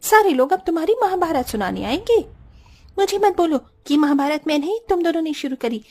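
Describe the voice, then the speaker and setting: A woman's voice: sits high, lively intonation, fairly quick, a little mellow. A woman voicing a defensive, quarrelsome young housewife in a cartoon story, with a complaining, argumentative manner.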